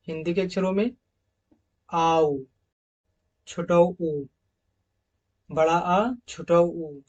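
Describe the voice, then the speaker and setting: A man walks through phonetics slides in a classroom-lecture manner. He stretches out and repeats each example word at a louder, emphatic level, then drops back to quick explanations. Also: a little high, highs cut off. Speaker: English teacher. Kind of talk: slide-based phonetics lesson.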